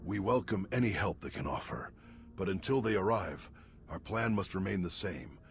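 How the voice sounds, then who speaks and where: A man playing a calm, wise old Jedi master in a video game, speaking in a measured, formal and deliberate way. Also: sits low, a little hiss or hum. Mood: grave, thoughtful. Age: older. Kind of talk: video game cutscene voice acting. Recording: music behind the voice.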